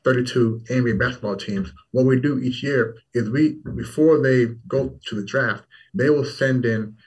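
A man's voice: mid-range voice, normal speed, darkish tone, slightly rough. Guy guest chatting away, repeats himself for emphasis, sounds kinda far from the mic. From Toronto, then Louisville, Kentucky and Washington DC. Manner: talkative, repeats words for emphasis. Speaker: startup founder as guest. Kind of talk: casual interview chat.

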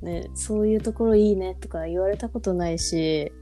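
A young woman co-hosting a casual talk show, speaking in a relaxed, understated way. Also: mid-range voice, normal speed, faint music behind. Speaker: podcast co-host. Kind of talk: casual chat.

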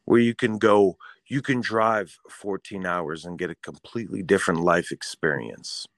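A man's voice: low-pitched, normal speed, dry recording. A man co-hosting a casual podcast, ranting in a fired-up, profane way. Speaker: podcast co-host.

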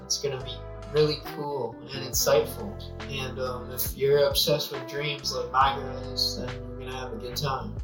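A man's voice: mid-range voice, level tone, moderate pace, few pauses. A man, a guest on a podcast, chatting casually in a teasing, laid-back way. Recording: echoey room, from far off, faint music.

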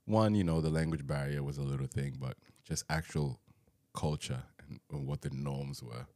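A young man whose first language is Dinka, from South Sudan, chatting casually on a podcast, laughing often and quick to apologize. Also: very deep voice, a little mellow, no echo. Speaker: podcast co-host. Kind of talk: casual chat.

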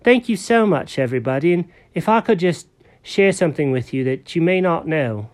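A woman's voice: low voice, animated, moderate pace, a little mellow, pitched above the performer's own voice. A woman voicing a naive, greedy male kitty cat who is obsessed with eating fish. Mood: proud. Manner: eager, wide-eyed and fish-obsessed.

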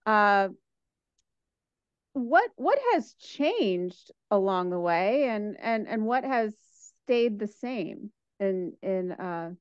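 A young woman interviewing a mentor, asking eager, halting questions with many restarts. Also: mid-range voice, animated, clean tone, no room sound, muffled recording. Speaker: interviewer, doctoral student. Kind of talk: casual interview.

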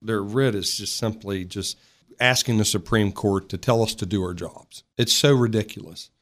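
A man's voice: lowish voice, moderate pace. A man speaking as a sheriff in an interview, emphatic and official in his wording. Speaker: county sheriff being interviewed. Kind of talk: interview response.

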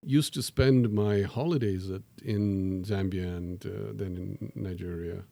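A man's voice: deep voice, steady tone, a little slow, creaky, dark tone. A middle-aged man, a professor, talking in a thoughtful, self-deprecating way in a conversational interview, with many fillers and restarts. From born in Tanzania to Indian parents.

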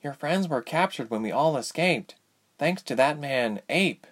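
A man voicing a woman prisoner in a storybook, speaking softly and frankly to console a fellow captive. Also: fairly high pitch, animated, measured pace, pitched above the performer's own voice. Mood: grim.

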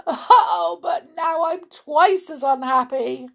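Weepy, outraged storybook king, female performer: very high pitched, sobbing, stammering, melodramatic. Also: takes it slowly, vocal fry, fairly bright tone, full character voice. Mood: tearful wailing.

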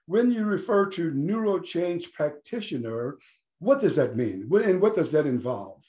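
A man's voice: a little high, sing-song, normal speed, warm tone. A man hosting a podcast, asking friendly, rambling questions. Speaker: podcast host. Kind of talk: podcast interview.

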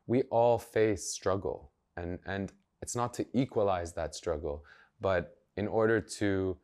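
A man's voice: sits low, unhurried, a little mellow. A man, a journalist and activist, speaking earnestly and emphatically as a podcast guest, thinking aloud as he goes. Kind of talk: podcast interview conversation.

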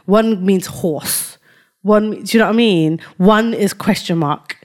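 A woman's voice: medium pitch, measured pace, a little mellow. A woman co-hosting a podcast in casual banter, using slang like a habit and explaining things with examples and asides. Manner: slangy, says fam and yeah often. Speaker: podcast co-host.

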